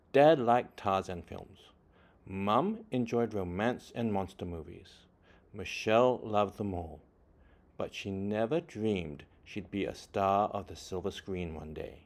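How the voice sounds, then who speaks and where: Low-voiced man reading a children's story. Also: lively intonation, a little mellow. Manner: dramatic emphasis, deliberate phrasing, varied delivery. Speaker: children's book reader. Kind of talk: expressive read-aloud storytelling for children.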